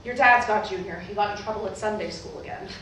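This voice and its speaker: A woman playing a brisk, opinionated middle-aged mother with a businesslike, pushy, chatty way of talking, in stage play dialogue. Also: mid-range voice, a bit bright, close to an everyday voice. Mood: brisk, concerned. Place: staged reading in a hall, recorded from afar. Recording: very echoey hall, distant, noisy.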